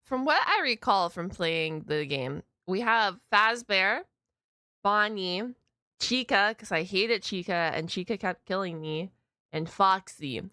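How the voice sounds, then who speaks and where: A woman reacts casually in a bright, crisp-edged voice at a middle pitch. She is chatty and expressive, dropping short interjections, and the sound is very dry. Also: slow pace, dry recording. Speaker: reaction streamer. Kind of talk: casual live reaction commentary.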